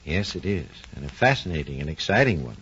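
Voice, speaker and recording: A man's voice: rumbling low, sing-song, quick pace, fairly bright tone, slightly rough. A man with an American accent giving a scripted 1940s educational radio talk as a research engineer, in a formal, explanatory manner. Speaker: research engineer presenting a science program. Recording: muffled, lo-fi 1940s radio recording.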